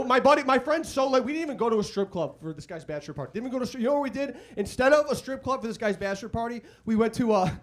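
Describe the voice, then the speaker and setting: High-voiced Chicago comic, crowd laughing. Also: male voice, a little hiss or hum. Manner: conversational, crude punchlines, loud acted-out quotes. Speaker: stand-up comedian. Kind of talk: stand-up comedy set. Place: comedy club stage. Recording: live club audience audible. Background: audience laughter.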